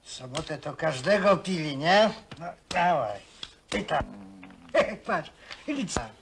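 A man's voice: on the high side, expressive, takes it slowly, vocal fry, fairly bright tone, slight background noise, exaggerated voice. An actor playing a rowdy, crude working-class man in a film, slangy and teasing with his mates. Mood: coarse banter. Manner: coarse, slangy, teasing, chuckling. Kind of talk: naturalistic film acting. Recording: 1970 film soundtrack, voices in background.

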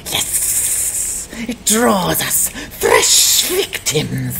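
A man's voice: high-pitched, very animated, gravelly, very noisy, heavily acted. A man voicing a menacing, gloating chimera that toys with its prey in a dramatized fantasy audiobook. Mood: sinister. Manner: slow, sinister, toying with prey. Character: menacing, gloating three-headed chimera monster.

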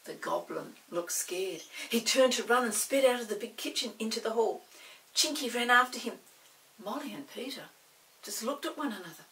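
A woman in her sixties narrating a children's story expressively, in a dramatic, deliberate manner. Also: on the high side, animated, a bit bright, recorded from a distance, low-quality recording. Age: 60s. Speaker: storybook reader. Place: small indoor set with dark backdrop.

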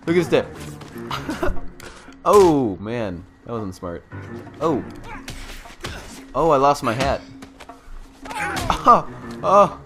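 Male gamer for walkthrough narration: unhurried, playful, slangy, mid-pitched, game music behind him. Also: sing-song. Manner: playful, slangy, swearing, exclaiming. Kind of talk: casual gameplay commentary. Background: game music and vehicle sounds.

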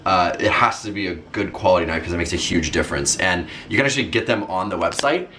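Low-voiced young man explaining a recipe. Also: quick pace, pushed and bright. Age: young adult. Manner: easygoing, step-by-step instructing. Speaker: vlog co-host cooking. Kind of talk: casual cooking vlog chat. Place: home kitchen, indoors. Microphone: camera mic. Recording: roomy kitchen with background noise.

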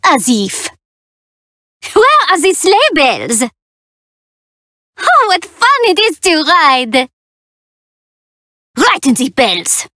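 A woman's voice: high voice, huge pitch swings, very slow, long pauses, pushed and bright, no room sound, clean studio sound, exaggerated voice. A woman voicing a playful, cheeky young half-tiger catgirl thief for a video game, perky, teasing and theatrical. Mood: sly, teasing, then gleeful. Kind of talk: video game character voice lines.

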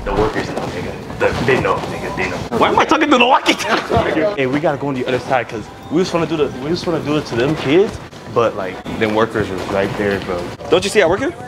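A man's voice: medium pitch, big pitch swings, fast, over loud music, rough recording. A young man hosting a prank video in casual, slangy, joking chat. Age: young adult. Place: large store, car and parking lot.

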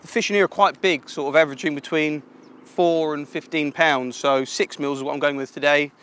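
A young man coaching listeners through an angling method in a relaxed, explanatory way, speaking partly as a voice-over. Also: fairly high pitch, brisk, clear, resonant tone. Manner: relaxed explanatory, practical tips. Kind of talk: instructional voice-over and commentary. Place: outdoors at a lake.

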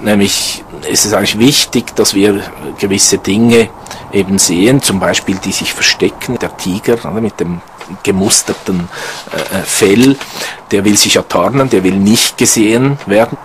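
A man's voice: on the low side, moderate pace, a little hiss or hum. A man explaining things as a researcher in an interview, repeating words and adding questioning tags as he thinks. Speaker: neuroscience researcher.

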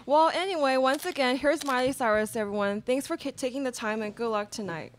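Very high-voiced red carpet interviewer played by woman. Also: fairly quick, a little hiss or hum, natural voice. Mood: upbeat, announcing. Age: young adult. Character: upbeat female red carpet celebrity interviewer. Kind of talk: live radio theatre, scripted interview. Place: college classroom stage, indoors. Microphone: stand microphone.

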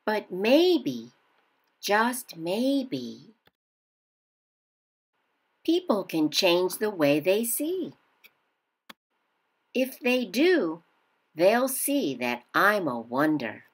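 A woman narrates a picture book for young children. She reads slowly and expressively, with a lot of rise and fall in her pitch. Her delivery is that of a storybook read-aloud. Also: big pitch swings, breathy edge.

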